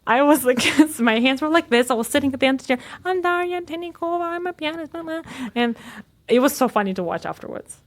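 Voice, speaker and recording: Animated woman talking about teaching. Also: high-pitched, fast. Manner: animated, enthusiastic, many restarts. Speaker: guest, pianist and teaching assistant. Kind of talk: conversational interview answers.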